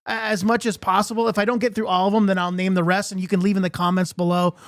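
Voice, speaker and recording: A man's voice: sits high, level tone, quick pace, dry recording, studio quality. A man hosting a casual panel debate show with showy, announcer-like introductions. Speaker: host of a comics and gaming debate show.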